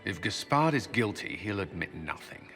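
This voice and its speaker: A man's voice: on the low side, big pitch swings, normal speed, a bit bright. An actor voicing a blunt, practical male military commander in a video game, speaking directly and firmly. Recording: game dialogue over music.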